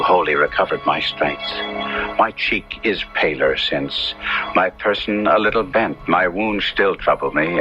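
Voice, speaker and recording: Repentant, wounded young aristocrat telling his tale; low register, earnest radio-play delivery over clear music. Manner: earnest, literary, self-reproachful. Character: repentant, wounded young Italian nobleman recounting his ordeal. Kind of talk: 1970s radio drama acting, first-person narration. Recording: dull 1970s radio recording with music.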